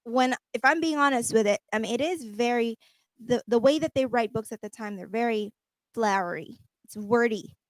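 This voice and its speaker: A woman's voice: fairly high pitch, moderate pace, no room sound, rough recording. A woman chatting on a radio panel, telling stories in an emphatic, talkative way. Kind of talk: casual radio chat.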